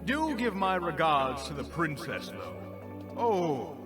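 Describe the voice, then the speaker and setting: A man's voice: fairly high pitch, wildly swooping pitch, slow, over music, heavily acted. A man playing a smug, mocking sorcerer villain with a theatrical, taunting drawl in an audio drama. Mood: smug, unbothered. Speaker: voice actor in audio roleplay.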